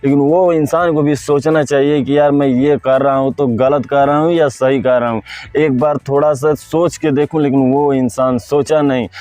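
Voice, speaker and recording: A man's voice: mid-range voice, steady tone, moderate pace, dark tone, dry recording. A man with a Bhojpuri-influenced Hindi accent giving informal gossip commentary, repeating himself and putting questions straight to viewers. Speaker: host of a film gossip video channel.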